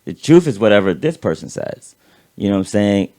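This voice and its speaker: A man co-hosting a casual political chat show, talking with a raspy voice and vocal fry, rambling and repeating words as he swears freely. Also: lowish voice, sing-song, brisk, mellow. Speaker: podcast co-host.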